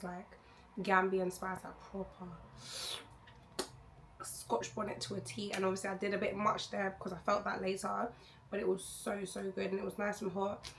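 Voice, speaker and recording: A young woman vlogger, hoarse from losing her voice, chatting to camera in a rambling, candid way. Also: medium pitch, a bit bright, a little hiss or hum. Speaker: travel vlogger. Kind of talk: casual chat to camera.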